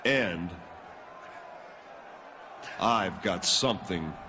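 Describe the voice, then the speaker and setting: A menacing cocky wrestler. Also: male voice, low-pitched, expressive, drawn-out words, a crowd in the background, background noise, heavily acted. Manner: taunting, coldly threatening. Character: cocky male pro wrestler, menacing champion. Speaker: wrestler in a video game cutscene.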